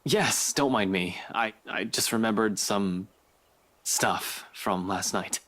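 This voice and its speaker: Rattled, guarded young detective. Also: male voice, on the low side, a bit bright. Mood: rattled, evasive. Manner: halting, urgent, brushing off concern. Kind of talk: visual novel voice acting.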